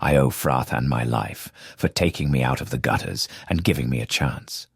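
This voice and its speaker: Gravelly old mayor, stern. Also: male voice, no echo, clean studio sound, exaggerated voice. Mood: solemn. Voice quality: deep gravelly rasp. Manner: slow, solemn lecturing. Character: stern elderly male mayor, proud and dutiful. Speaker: mayor and estranged father.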